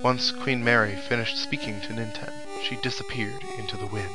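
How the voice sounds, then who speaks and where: A man plays through a video game and comments casually as he goes. His voice sits at an average pitch, and he muses to himself between readings of on-screen text. Game music plays clearly behind him. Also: moderate pace, poor audio. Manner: musing, talks to himself. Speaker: let's play commentator. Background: video game music and sound effects.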